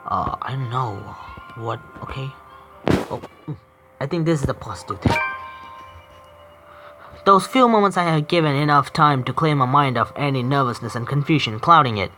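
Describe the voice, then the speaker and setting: A man's voice: medium pitch, animated, moderate pace, long pauses, music in the background, rough recording. A man streaming himself reading a visual novel aloud, often stumbling and correcting himself.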